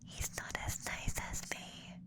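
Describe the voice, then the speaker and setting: A man voicing a gentle, curious alien examiner in a whispered ASMR roleplay, clinical yet coddling and playfully condescending. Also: unhurried, lightly acted. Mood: soft, clinical, coddling.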